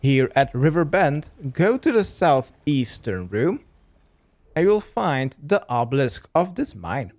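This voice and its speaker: Man narrating a game walkthrough, lively intonation. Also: on the high side, takes it slowly. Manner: step-by-step, instructional. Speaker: gaming guide creator.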